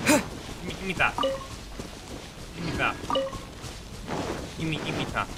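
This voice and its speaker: A man's voice: fairly high pitch, animated, very slow, gravelly, heavy background noise. A man giving casual, sardonic live commentary on a game he is playing, repeating himself and swearing freely. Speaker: game streamer. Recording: game music behind the voice.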